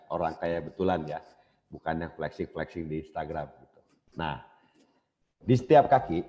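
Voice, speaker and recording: Low-voiced man giving a business seminar. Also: dark tone, a little hiss or hum. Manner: casual, addresses audience directly. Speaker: business speaker. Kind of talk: motivational seminar talk. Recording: dull lo-fi cassette recording.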